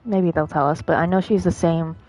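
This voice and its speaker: A woman's voice: fairly low pitch, steady tone, a little fast, dark tone, low-quality recording. A woman live streamer giving casual, rambling, blunt commentary over a game. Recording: faint game music behind.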